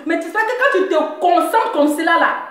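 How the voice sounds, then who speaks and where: Scolding high-voiced woman, very echoey room. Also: expressive, normal speed, a bit bright. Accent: Central African French. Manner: scolding, laughing, repeats phrases. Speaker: live-stream blogger. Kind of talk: mocking live-stream rant.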